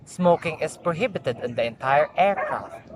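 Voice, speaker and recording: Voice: mid-range voice, lively intonation, normal speed, heavy background noise. A young adult with a Filipino accent, practicing scripted announcements and chatting as an amateur vlogger, with careful but stumbling delivery. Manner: careful, formal reading with stumbles and retakes. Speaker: tourism student practicing flight attendant announcements. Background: music, barking dog at times.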